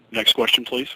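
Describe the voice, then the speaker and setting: A man speaking as a company executive, answering questions on an investor conference call in a measured, formal, guarded way. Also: mid-range voice, creaky. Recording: noisy conference call recording.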